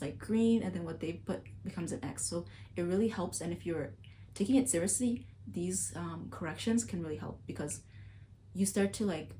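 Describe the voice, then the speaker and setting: Low mellow-voiced woman vlogger. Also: a little hiss or hum. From Sudan. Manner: relaxed, chatty, many fillers. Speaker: language-learning vlogger. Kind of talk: casual talk to camera.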